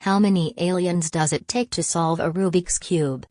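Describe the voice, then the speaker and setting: A robotic female co-host in a woman's voice with a low pitch. She is literal-minded and deadpan, stilted in phrasing and coolly menacing. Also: normal speed, lightly acted.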